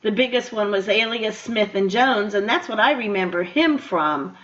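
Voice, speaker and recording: A woman's voice: mid-range voice, lively intonation, moderate pace, a bit bright. A woman hosting a radio show, interviewing a guest in a casual, chatty and conversational way. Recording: dull, lo-fi radio interview audio.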